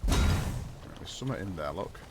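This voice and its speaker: Low croaky man streaming. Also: animated, unhurried, very noisy. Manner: rambling, self-deprecating, chats with viewers. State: croaky from a cold. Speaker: game streamer. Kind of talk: casual live stream chat while building.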